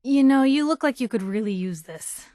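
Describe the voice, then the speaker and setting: A woman's voice: fairly high pitch, expressive, normal speed, warm tone, dry recording, studio quality, close to an everyday voice. An actress playing a plucky young woman newspaper reporter in a video game, with a wry, teasing, conversational way of talking. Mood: friendly. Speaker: companion character voice actor.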